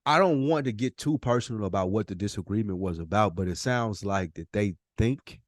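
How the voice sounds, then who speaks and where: A man's voice: lowish voice, brisk, no room sound, studio quality. A man co-hosting a podcast, talking casually as he asks questions and sums up what he has heard. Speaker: podcast co-host. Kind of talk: casual conversation, questioning and summing up.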